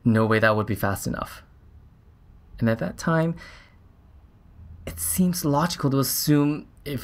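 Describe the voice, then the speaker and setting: An actor playing an earnest, shaken scientist on a Mars mission, recounting events in a halting, reflective way as in a documentary interview. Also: male voice, medium pitch, close to an everyday voice. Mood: earnest, urgent.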